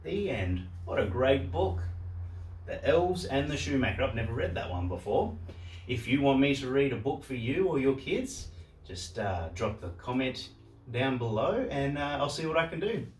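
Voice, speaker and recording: A man reading a storybook aloud for children in a simple, deliberate way. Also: medium pitch, sing-song. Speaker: storyteller, host of a story channel. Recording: roomy echo, distant microphone.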